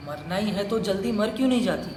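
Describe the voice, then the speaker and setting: A man's voice: sits high, lively intonation, quick pace, darkish tone. A man playing a lazy, callous young village son, sullen and evasive, in an audio drama. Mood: sullen, irritated. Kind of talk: stage-style audio drama acting. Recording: echoey hall, clear background noise, insects.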